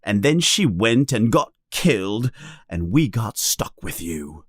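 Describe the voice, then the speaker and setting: A man doing a shrill bitter aunt. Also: huge pitch swings, takes it slowly, no echo, studio quality, full character voice. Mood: bitter, angry. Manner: shrill, angry ranting. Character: bitter, resentful middle-aged aunt.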